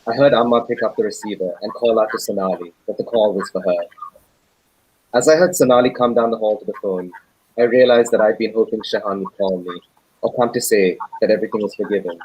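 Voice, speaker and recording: Husky lowish-voiced man narrating a novel. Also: a little fast, a little mellow, no echo, rough recording. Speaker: actor.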